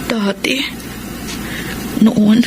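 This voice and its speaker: A woman acting a grieving, lovelorn woman in a Filipino radio drama, with a tearful, drawn-out, breathy delivery. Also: mid-range voice, steady tone, background noise. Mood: wistful, tender. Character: grieving lovelorn woman, tender and longing, bereft of partner. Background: music behind the voice.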